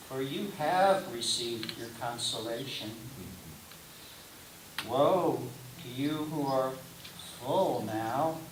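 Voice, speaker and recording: A man reading scripture aloud in a solemn liturgical style, with deliberate, declamatory phrasing and pauses between short phrases. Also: a little high. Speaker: scripture reader. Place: roomy indoor church gathering space. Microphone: distant microphone. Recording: distant mic, echoey room, clear hiss.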